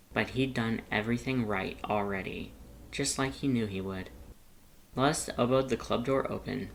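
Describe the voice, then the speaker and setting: A narrator reading fan fiction aloud as an expressive, scripted audiobook-style story. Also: mid-range voice, creaky, a little hiss or hum, rough recording.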